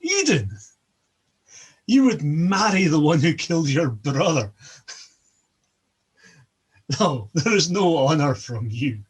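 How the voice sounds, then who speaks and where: A man's voice: fairly high pitch, sing-song, slow pace, pauses often, husky, heavily acted. A man voicing a scornful, taunting male trickster god at a feast, mocking each guest in turn.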